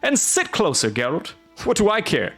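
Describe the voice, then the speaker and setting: A man's voice: high voice, huge pitch swings, moderate pace, a bit bright, soft background music, voice pushed up, heavily acted. A man doing a blustering castellan. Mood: exasperated. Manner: exclaiming, emphatic, rising. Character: blustering middle-aged male castellan, gruff and loud.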